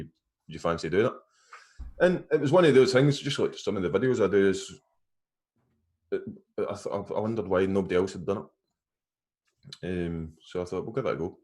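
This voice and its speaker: A man's voice: low voice, normal speed, long pauses, a little mellow. A Scottish man, a guest vape reviewer, chatting casually on a video call and rambling with frequent restarts. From Scotland. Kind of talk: casual chat.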